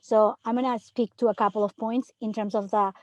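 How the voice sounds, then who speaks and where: A woman's voice: medium pitch, steady tone, brisk, a little mellow, no echo. Earnest woman from Peru, dry close sound. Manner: earnest, emphatic, many rhetorical "right?". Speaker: third grade teacher. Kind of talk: panel discussion.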